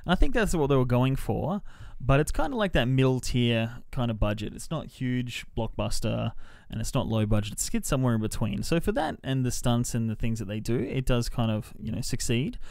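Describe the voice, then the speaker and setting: A man giving casual, off-the-cuff film opinions on a podcast in an informal, measured way. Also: medium pitch, lively intonation, gravelly, darkish tone, no echo, clean studio sound. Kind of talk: casual, off-the-cuff movie review chat.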